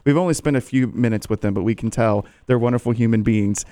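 A man's voice: medium pitch, fast, warm tone, no echo, studio quality. Chatty, enthusiastic male show host. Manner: enthusiastic, chatty, laughs easily. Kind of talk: upbeat interview chat.

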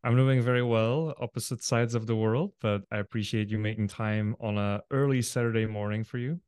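Belgian male podcast host, Dutch native, low smooth voice, dry close-miked sound; easygoing chat. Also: a little fast, dry recording. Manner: relaxed, friendly, many fillers. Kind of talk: casual interview chat.

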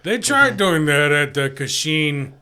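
Bright, bantering high-voiced man. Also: big pitch swings, slow, clean tone. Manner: joking, teasing, acts out bits. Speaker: podcast host. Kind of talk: casual podcast banter.

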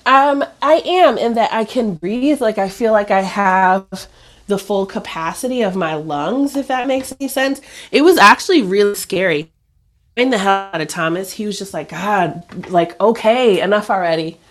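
A woman's voice: mid-range voice, animated, normal speed. A woman in her thirties chatting casually, sounding stuffy and nasal from a cough, rambling with many fillers and restarts. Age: 30s. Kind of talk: casual chat.

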